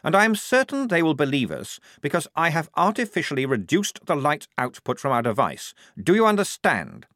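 A man's voice: on the high side, a bit bright, no echo, clean studio sound. A man reading a story, voicing a pompous, fussy young male lieutenant in a lofty, overly precise manner.

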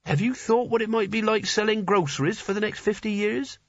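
A man's voice: high voice, a little fast, no echo, muffled recording, pitched above the performer's own voice. A man voicing a hapless, reluctant wizard in an audiobook, with a weary and slightly pained manner. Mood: doubtful. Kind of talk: audiobook character dialogue.

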